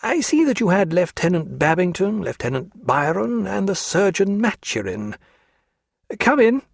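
A man voicing a fussy, polite government clerk who speaks slowly and apologetically. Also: sits high, very animated, creaky, breathy edge, dry recording, studio quality, pitched above the performer's own voice, exaggerated voice.

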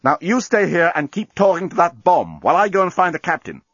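A man voicing a brisk, indignant young woman lawyer in a comic sci-fi audiobook, exclamatory, firm and urgent. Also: high voice, very animated, fairly bright tone, slightly rough, no echo, lo-fi sound, voice pushed up, heavily acted. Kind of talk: comic science fiction audiobook.

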